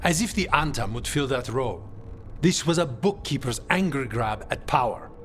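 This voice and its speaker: An actor playing a stern, vengeful member of a city crime guild in a video game, speaking in clipped, grave statements. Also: male voice, medium pitch, lively intonation, normal speed, a bit bright, lightly acted. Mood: stern resolve. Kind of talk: video game cutscene acting. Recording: music behind the voice.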